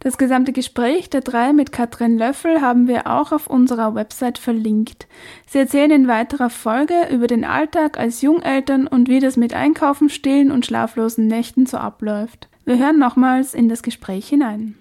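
A woman radio host. Her tone is soft and mellow as she delivers scripted presentation. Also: high-pitched, fairly even intonation, moderate pace.

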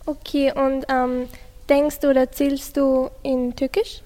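A woman's voice: high voice, level tone, takes it slowly, dark tone, long reverb tail. A teenage girl, hesitantly asking questions on a school radio show. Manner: hesitant, with fillers. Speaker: student interviewer.

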